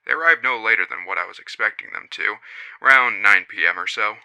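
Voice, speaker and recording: A man voicing a male witness who recounts his friends' strange encounter in a measured, puzzled and skeptical way. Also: on the low side, vocal fry, bright, forceful tone, clean tone, full character voice. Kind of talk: first-person reading of a witness account.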